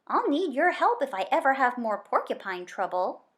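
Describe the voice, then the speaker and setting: A brash storybook fox, voiced by a woman, greedy and eager. He talks bluntly and wheedles in a fairly high voice. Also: very animated, moderate pace. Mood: nosy, cautioning.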